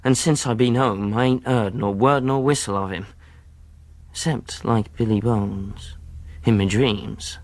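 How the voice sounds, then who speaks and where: A man's voice: on the low side, steady tone, measured pace, a little mellow, no echo. An actor playing a reflective seafarer telling his adventure in rustic speech, as radio drama narration. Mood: haunted, reflective. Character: reflective sailor narrator recalling a youthful adventure.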